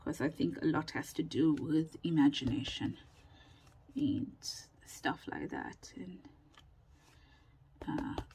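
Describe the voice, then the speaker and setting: A woman still recovering from a lost voice talks her viewers through a stamping project at an unhurried, slow pace. Her speech is loose and chatty, full of ums and trailing thoughts, and she sometimes slips into a near whisper. A faint hiss sits under the recording. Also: low voice, a little mellow. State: recovering from lost voice, sore throat. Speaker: craft vlogger. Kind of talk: casual crafting tutorial chat.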